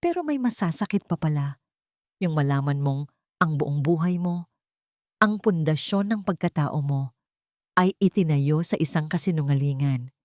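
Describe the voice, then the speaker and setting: A woman's voice: low voice, animated, normal speed, long pauses, darkish tone, no room sound, studio quality. A woman voicing a betrayed, grieving young woman, bitter and hurt, in a romance story. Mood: pained.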